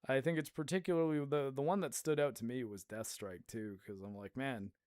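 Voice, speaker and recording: A man streaming a game, rambling in casual commentary with a hoarse voice and frequent laughter. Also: mid-range voice, a little fast, darkish tone, no room sound, studio quality. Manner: chatty and rambling, laughs often.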